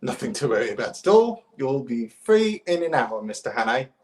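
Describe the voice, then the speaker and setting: A young man playing a smooth, placating police detective in a 1930s-style radio play. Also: medium pitch, sing-song, normal speed, lightly acted. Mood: soothing. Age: young adult.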